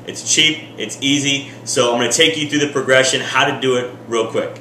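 A man's voice: medium pitch, fairly even intonation, fairly quick, bright, forceful tone, reverberant, recorded from a distance, a little hiss or hum, poor audio. A man in his thirties giving a casual cooking how-to in his home kitchen, talking in an upbeat, direct coaching tone. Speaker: fitness vlogger.

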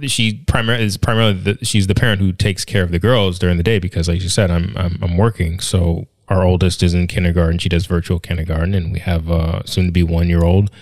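Low-voiced man in his thirties on a podcast. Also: dry recording. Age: 30s. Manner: rambling, thoughtful, many restarts and fillers. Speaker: podcast co-host. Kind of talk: casual conversational podcast chat. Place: living room at home, indoors. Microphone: studio mic on a stand with headphones.

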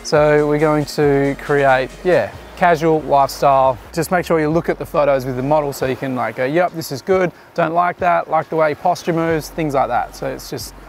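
A man's voice: a little high, normal speed, few pauses, soft background music. A man in his thirties, a photographer, casually explaining his shots while giving directions to a model outdoors. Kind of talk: casual behind-the-scenes commentary. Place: outdoors on a beach jetty.

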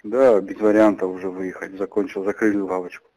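Man, low voice, blunt, profane, casual talk, intercepted phone call. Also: level tone, fairly quick, dark tone. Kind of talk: casual phone chat with heavy swearing.

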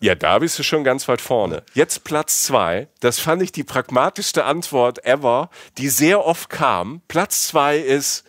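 A man's voice: mid-range voice, lively intonation, measured pace, few pauses, a bit bright, dry recording. Man, middle-aged, podcast host, casual chat, enthusiastic, dry close sound. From Palatinate, south-west Germany.

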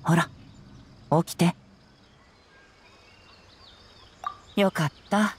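A man voicing a calm, perceptive young gentleman investigator in a game, soft-spoken and politely probing. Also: high voice, measured pace, slightly rough. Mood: gently concerned. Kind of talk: Japanese game cutscene voice acting.

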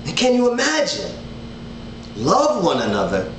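A man's voice: high-pitched, measured pace, noisy background. Earnest man teaching Bible study at home. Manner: earnest, animated hand gestures, repeats words. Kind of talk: casual online Bible teaching. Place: living room at home, indoors. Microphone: webcam at desk distance. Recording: roomy home webcam audio.

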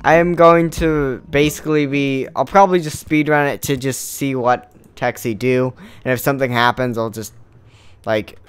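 A young man with a tired, hoarse voice, rambling casually as he thinks aloud while playing a video game. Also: medium pitch, fairly even intonation, moderate pace, dry recording. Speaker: let's play host. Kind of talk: casual gameplay commentary. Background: game music.

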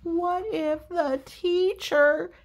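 A woman's voice: very high voice, fairly even intonation, drawn-out words, pitched above the performer's own voice, exaggerated voice. A woman voicing an anxious, whiny young cartoon pigeon from a storybook, stretching words out for emphasis. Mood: panicked. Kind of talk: storybook read-aloud character voice.

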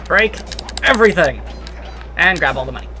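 A man with a high, bright voice gives casual video game commentary. He is sarcastic and playfully grandiose, with game music behind him. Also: animated, normal speed. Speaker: let's play commentator.

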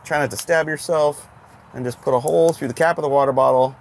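A man's voice: a little high, steady tone, quick pace, vocal fry. A man in his fifties, a host talking casually and joking while he shows a roadside car fix outdoors. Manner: easygoing, joking, explains while working. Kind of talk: casual garage how-to chat. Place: outdoors by a car, then inside the car. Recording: outdoor background noise.

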